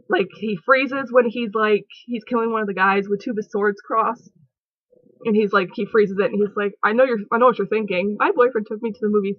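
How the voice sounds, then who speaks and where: A woman's voice: mid-range voice, no room sound. A young woman chatting casually on her home vlog, giggly and rambling with lots of fillers. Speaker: vlogger. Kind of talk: casual movie review chat. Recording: dull lo-fi home recording.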